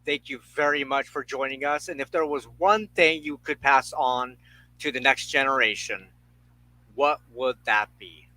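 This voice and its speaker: A man's voice: medium pitch, measured pace, bright, forceful tone, rough recording. A man hosting an interview show casually, agreeable and given to short affirmations.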